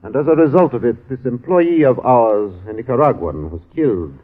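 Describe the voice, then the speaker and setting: Radio drama: male actor as self-protective company man, husky, formal, hedging, lo-fi old recording. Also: mid-range voice, expressive, normal speed, close to an everyday voice. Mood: reserved, regretful. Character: cautious, self-protective businessman in a shipping office. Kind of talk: old-time radio acting.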